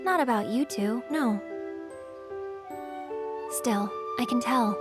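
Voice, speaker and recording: A woman voicing a gentle, playful, perceptive young adventurer in video game acting. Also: on the high side, takes it slowly. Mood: gentle, teasing. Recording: loud music behind.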